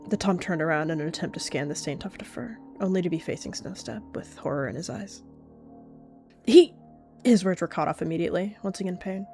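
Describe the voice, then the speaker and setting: A young woman narrating a cat roleplay story in a soft, earnest storytelling manner. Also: fairly low pitch, fast talker, few pauses, faint music behind.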